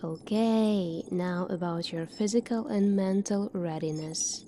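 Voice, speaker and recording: Slow mellow asmr lady as a calm sci-fi army doctor, measured n clinical, bit of noise behind her. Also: mid-range voice. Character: calm, formal female military doctor in a sci-fi future. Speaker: ASMR roleplay performer. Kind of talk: soft-spoken ASMR roleplay.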